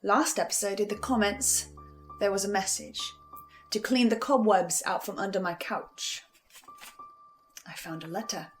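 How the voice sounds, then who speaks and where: A woman playing an anxious, wry researcher of the supernatural in a horror drama, speaking in a halting, teasing way. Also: mid-range voice, faint music behind, lightly acted. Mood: hushed, resolved.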